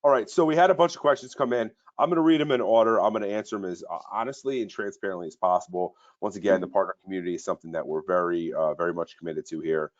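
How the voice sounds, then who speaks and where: A man's voice: low voice, rapid-fire, few pauses, highs cut off. A man co-hosting a sales webinar in an upbeat, repetitive way with many fillers. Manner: upbeat, repeats words, fillers.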